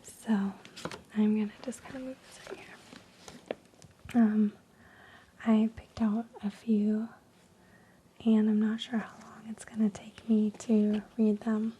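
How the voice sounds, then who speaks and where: A woman reading stories in a soft unvoiced ASMR whisper, slow and hushed with frequent ums, while losing her voice. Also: mid-range voice, flat delivery, a little hiss or hum. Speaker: ASMR creator.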